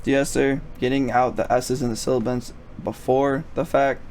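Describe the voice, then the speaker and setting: A man with a thin, raspy voice giving a casual, slangy music mixing tutorial, swearing freely and often checking in with "right?". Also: mid-range voice, flat delivery, normal speed, darkish tone, a little hiss or hum.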